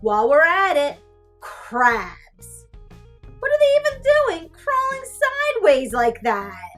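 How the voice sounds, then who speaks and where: A woman reading a storybook aloud as a sassy, easily grossed-out young female cartoon character, with exaggerated, drawn-out disdain. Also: very high voice, wildly swooping pitch, a bit bright, faint music behind, heavily acted. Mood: disgusted. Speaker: teacher reading a picture book aloud. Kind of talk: animated children's storybook read-aloud.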